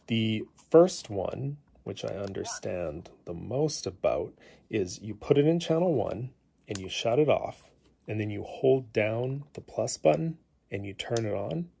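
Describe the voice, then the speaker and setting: Low-pitched man with a creaky voice. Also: expressive, unhurried, dark tone, a little hiss or hum. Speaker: hobbyist demonstrating a gadget. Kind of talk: casual unscripted tutorial. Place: indoors in a living room.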